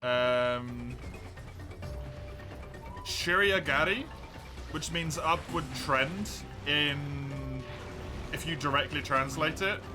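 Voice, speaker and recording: A man's voice: on the high side, extremely slow, pauses often. An English man chatting casually with his live-stream viewers, laughing often, mocking himself and swearing easily. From England. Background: music.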